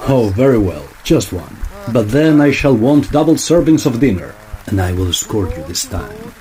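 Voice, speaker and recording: A male actor performs a bold opera singer in a Victorian comedy audio play. His medium-pitched voice is theatrical and lively, with a droll, roguish air. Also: moderate pace, close to an everyday voice. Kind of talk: period comedy audio drama acting.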